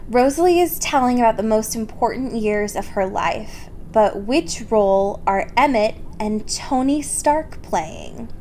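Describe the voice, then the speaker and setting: Giggly woman chatting, slightly high voice. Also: expressive, measured pace, a little mellow, a little hiss or hum. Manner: giggly, informal, swears casually. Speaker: podcast co-host. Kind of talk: casual chat and reading fan fiction aloud.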